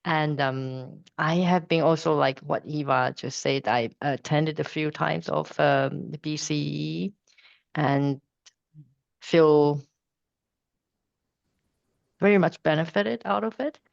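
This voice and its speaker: Halting coach from Taiwan, gravelly. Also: female voice, low-pitched, dry recording. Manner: halting, searching for words, laughs softly. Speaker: coach, call participant. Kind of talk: casual self-introduction on a video call. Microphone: video call. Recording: dull, dry, lo-fi call audio.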